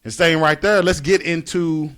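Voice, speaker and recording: A man's voice: a little high, steady tone, moderate pace, fairly bright tone. Laid-back male interview host. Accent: Kentucky. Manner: laid-back, hypes things up with slang. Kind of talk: casual interview chat.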